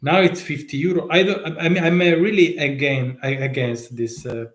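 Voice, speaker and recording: A man's voice: on the high side, fairly even intonation, a bit bright. Italian-accented man, echoey room. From Italy. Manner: halting, many fillers and restarts, rising rhetorical questions. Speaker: tax consultant. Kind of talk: live stream talk.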